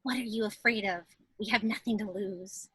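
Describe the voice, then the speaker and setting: A woman's voice: mid-range voice, moderate pace, bright, forceful tone, slightly rough. A woman playing an eager, defiant young daughter in a script table read over a video call. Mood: pleading.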